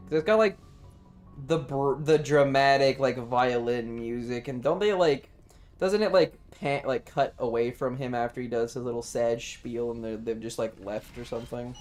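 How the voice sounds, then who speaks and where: A man's voice: medium pitch, normal speed, darkish tone. A man live streaming a game, chatting casually in a rambling, sweary way. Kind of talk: casual gaming stream chat. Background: game music.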